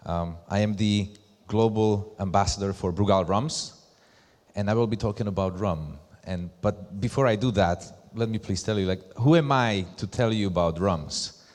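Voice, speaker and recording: A man from Slovakia with a Slovak accent, giving a conference presentation as a brand ambassador in deliberate, emphatic short phrases. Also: lowish voice, darkish tone. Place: large hall at a live event. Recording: echoey hall with long reverb.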